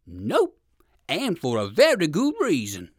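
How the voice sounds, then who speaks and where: A woman voicing a sly, hungry big bear in a children's storybook, coaxing with quiet menace. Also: sits low, huge pitch swings, husky, no echo, clean studio sound, heavily acted. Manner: slow, coaxing, menacing salesman.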